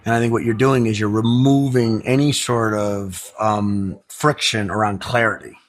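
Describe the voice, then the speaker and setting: A man's voice: fairly low pitch, steady tone, normal speed. Chatty young man hosting a podcast. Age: young adult. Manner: enthusiastic, chatty, filler-heavy. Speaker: podcast host. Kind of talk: casual chat.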